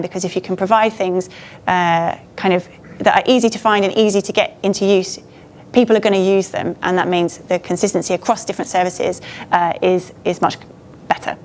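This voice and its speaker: A woman's voice: medium pitch, a little hiss or hum. A woman speaking informally as a presenter on an auditorium stage, conversational and a little hesitant, with loose phrasing. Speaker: conference speaker, government design team member. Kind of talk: informal presentation to a meetup audience.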